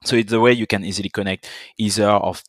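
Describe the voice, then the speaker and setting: A young man with a French accent, a guest on a business podcast, speaking in deliberate, halting second-language phrasing. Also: lowish voice, fairly even intonation, dry recording, clean studio sound. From French Caribbean island. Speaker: guest, partner account manager.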